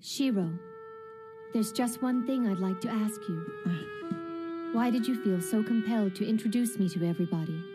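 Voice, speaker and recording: A woman voicing a composed, proud, dutiful young female protector in an anime dub, formal and calm. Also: medium pitch, lightly acted. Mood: questioning, serious. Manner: formal, calm, measured wording. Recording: music under the voice.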